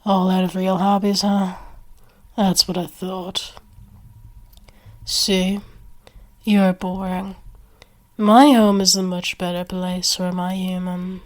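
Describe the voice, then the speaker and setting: Brash female werewolf, possessive and competitive, blunt slow delivery for ASMR roleplay, voiced by a woman. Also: fairly low pitch, flat delivery, a little mellow. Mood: smug, taunting.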